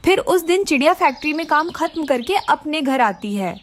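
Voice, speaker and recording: A woman's voice: sits high, lively intonation, normal speed, slight background noise. A woman narrating a scripted children's story.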